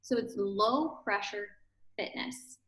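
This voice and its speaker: A woman's voice: mid-range voice, slow pace, a bit bright. Ukrainian-accented woman explaining, echoey room. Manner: explanatory, fillers and tag questions. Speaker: pelvic floor fitness studio owner. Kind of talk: casual interview chat.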